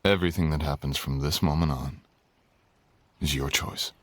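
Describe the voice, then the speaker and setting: A man's voice: a bass voice, steady tone, normal speed, dry recording. A man playing a courtly, teasing, tender dragon king in human form, speaking intimately as a lover in an ASMR roleplay. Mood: earnest, guarded. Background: music.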